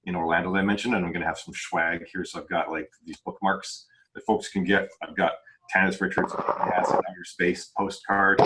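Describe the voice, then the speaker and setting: A man's voice: deep voice, flat delivery, brisk, background noise. A man chatting casually and informally on a podcast as an independent author and host, using slangy, chatty wording. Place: video call group podcast.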